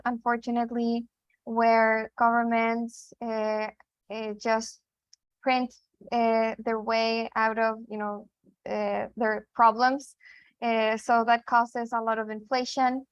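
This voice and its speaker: A young woman from Chile talks through ideas on a podcast, pausing with fillers and restarting her sentences. Her voice sits a little high but stays flat in tone. The sound is dry and slightly muffled. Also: dry recording. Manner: thoughtful, many fillers and restarts. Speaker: podcast co-host. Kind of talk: casual conversation.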